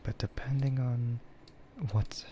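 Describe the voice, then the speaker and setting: A man chatting casually in a soft, half-whispered ASMR style, rambling and hesitant as he streams close to the microphone. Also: medium pitch, steady tone, takes it slowly, a little hiss or hum. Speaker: VTuber streamer.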